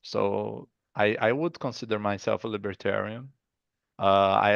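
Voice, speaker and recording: A man's voice: fairly low pitch, gravelly, a bit bright, clean tone, no room sound, highs cut off. A man with a Brazilian accent, a guest in a casual interview, speaking thoughtfully with many fillers and restarts. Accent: Brazilian Portuguese. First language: Portuguese. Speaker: guest artist.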